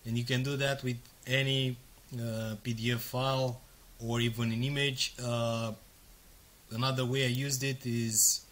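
A native Romanian man in his thirties records a screen walkthrough at his desk. His voice is bright and forceful at a middle pitch. He talks casually, step by step, with plenty of fillers. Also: level tone, a little slow. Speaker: software demo presenter.

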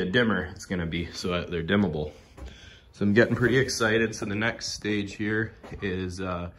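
A man's voice: low-pitched, pushed and bright, slight background noise. A man casually walking through his shipping container build, rambling with lots of fillers and restarts, filmed on a handheld phone inside the container. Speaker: DIY video maker. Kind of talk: casual walkthrough vlog. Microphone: phone camera held in hand.

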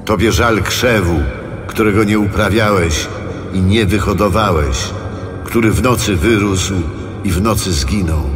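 A man playing God in an audio Bible drama, speaking in a solemn, commanding, measured manner. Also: on the low side, close to an everyday voice. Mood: solemn questioning. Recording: long cavernous echo under loud music.

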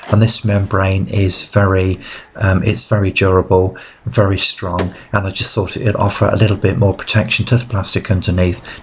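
A man's voice: sits low, vocal fry, slight background noise. A man giving an informal, conversational how-to narration as a DIY video host, explaining each step directly to viewers.

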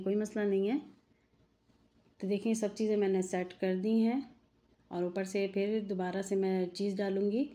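Woman, mother, cooking channel host, chatty, homely, warm mellow voice. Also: mid-range voice. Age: adult mother. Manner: chatty, homely, addresses viewers directly. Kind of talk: home cooking tutorial voice-over.

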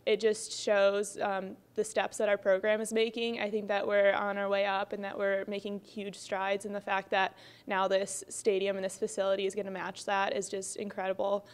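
A young woman with a crisp, clear voice and an even, level pitch. She answers in a measured, sincere way, speaking into a handheld mic. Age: 20s. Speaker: college softball player, senior. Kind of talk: interview soundbite.

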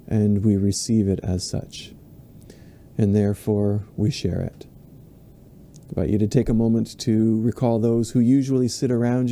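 A man in his forties, a minister leading online worship from a home office, reading prayers in a slow, measured way into a webcam. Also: lowish voice, mellow. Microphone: webcam at desk, video call framing.

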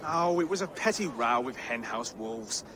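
An actor playing a gentle, earnest young Saxon thane in a video game, with an English accent and a courteous, measured manner. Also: male voice, medium pitch, animated, noisy background, natural voice. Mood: mild, composed. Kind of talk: video game cutscene acting.

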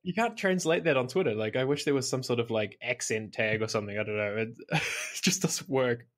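A man's voice: mid-range voice, a little fast, fairly bright tone. A man with a New Zealand accent, a podcast guest chatting casually on a call, laughing often and saying "like" a lot. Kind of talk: casual interview chat.

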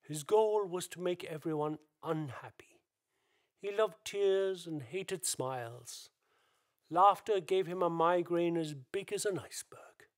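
A man's voice: sits high, a little slow. A man narrating a spooky radio play as a dramatic scripted storyteller.

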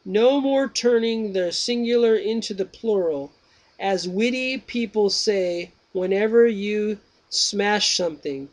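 A man reads out Socrates, an older philosopher who questions a younger man with slow patience. Each question is weighed out carefully. The voice sits high for a man and drags out its phrases. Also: low-quality recording. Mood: probing.

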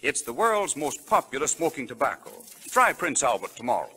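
Lively, bright American male radio pitchman, 1930s. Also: moderate pace, a little hiss or hum. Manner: polished, scripted sales delivery. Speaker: radio commercial announcer. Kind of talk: 1930s radio advertising read. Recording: vintage 1930s radio broadcast.

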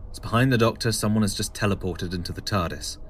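A man's voice: lowish voice, fast talker, a little hiss or hum. A man reading scripted narration for an audio drama.

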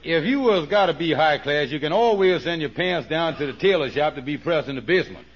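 A meddling, sarcastic schemer in old-time 1940s radio comedy. He is mock-polite and needling, with a creaky voice that swings up and down, on a dull vintage recording. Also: on the high side, expressive, brisk, a little hiss or hum. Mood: skeptical.

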